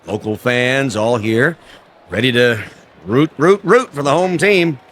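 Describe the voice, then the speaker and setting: An actor playing a jaded, sardonic American baseball announcer with showy patter in a radio drama. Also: male voice, mid-range voice, unhurried, fairly bright tone, slight background noise, lightly acted. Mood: showy, upbeat. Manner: showy on air, sardonic grumbling off it. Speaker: sports announcer. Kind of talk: American radio drama acting, broadcast commentary.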